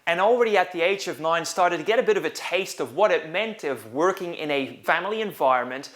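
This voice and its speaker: A man's voice: fairly high pitch, lively intonation, a bit bright. A middle-aged man from Belgium, a business coach, telling his own story to camera in an earnest, reflective way from a couch indoors. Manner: earnest, reflective, measured delivery.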